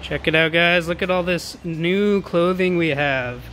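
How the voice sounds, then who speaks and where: High-voiced man giving enthusiastic sales patter. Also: a little slow, a bit bright. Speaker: auction house presenter. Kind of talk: informal promotional walkthrough of sale items. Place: large warehouse showroom, indoors. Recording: noticeable background noise in warehouse.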